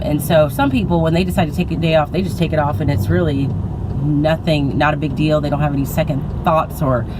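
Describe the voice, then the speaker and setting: Congested tired teacher lady rambling in her car, lots of road noise. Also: low voice. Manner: rambling, self-correcting, chatty. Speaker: teacher vlogger. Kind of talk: casual vlog monologue. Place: in a car outdoors on a windy morning.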